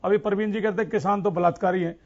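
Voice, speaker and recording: Man, high voice, contesting debate style, talks over others, dull lo-fi audio. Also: steady tone, quick pace. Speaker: political debate panelist. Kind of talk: argumentative TV debate. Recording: dull lo-fi broadcast link.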